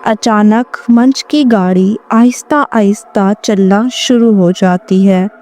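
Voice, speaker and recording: A woman reads a scripted story as narrator. Her pace is very unhurried and her pitch is mid-range. Music plays behind the voice throughout. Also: dark tone.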